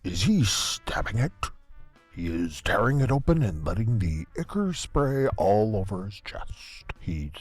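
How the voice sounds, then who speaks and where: An actor playing a deadpan small-town radio host, giving measured, folksy play-by-play of cosmic horror in an audio comedy. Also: male voice, fairly low pitch, slightly rough, soft background music, full character voice. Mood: intrigued, dramatic. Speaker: co-host and commentator.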